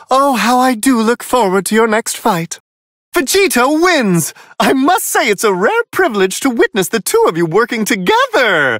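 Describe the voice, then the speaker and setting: A man's voice: high-pitched, fairly bright tone, no echo, clean studio sound, exaggerated voice. A man voicing a refined, unflappable, amused celestial attendant in a video game, commentating on fights with prim, courtly, theatrical precision. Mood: delighted, admiring.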